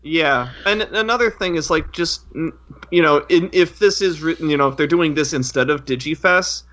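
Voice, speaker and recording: A man's voice: a little high, moderate pace, fairly bright tone. A man chatting casually as a panelist on a fan podcast, on a slightly dull lo-fi microphone. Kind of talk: casual chat.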